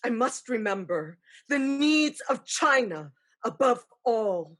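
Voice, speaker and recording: A woman playing an elder ancestral spirit, a wise, pragmatic aunt, in a deliberate, knowing staged reading. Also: a little high, very animated, a bit bright, exaggerated voice. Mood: musing, calculating. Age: older. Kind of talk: staged play reading, dramatic and measured.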